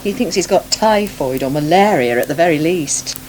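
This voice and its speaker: An actress playing a chatty, sympathetic countrywoman in a naturalistic radio soap, gently teasing as she talks. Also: low voice, expressive, normal speed. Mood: wryly amused. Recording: noisy 1980s radio broadcast.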